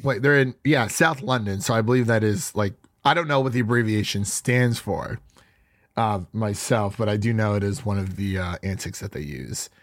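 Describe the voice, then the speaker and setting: A man hosting a podcast in casual chat, his voice raspy and stuffy from allergies, rambling with restarts. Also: lowish voice, normal speed, dry recording, studio quality. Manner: chatty, rambling with frequent restarts. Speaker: podcast host.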